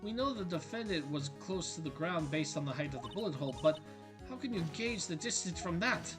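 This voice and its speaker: A man's voice: a little high, expressive, fairly quick, close to an everyday voice. A man voicing an earnest young male defense lawyer, arguing point by point with urgency, over game music. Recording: game music playing behind.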